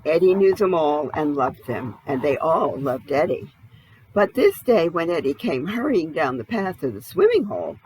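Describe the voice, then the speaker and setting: A woman's voice: deep voice, very animated, normal speed, darkish tone, a little hiss or hum, poor audio. A woman narrating a children's storybook expressively, with a dramatic read-aloud delivery. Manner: dramatic, asks the listener questions. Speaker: storybook reader.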